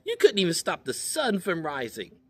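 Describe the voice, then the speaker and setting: A man voicing a small, cheeky female chipmunk with a squeaky, taunting voice in a told story. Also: wildly swooping pitch, normal speed, fairly bright tone, breathy edge, heavily acted. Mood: teasing. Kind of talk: oral storytelling.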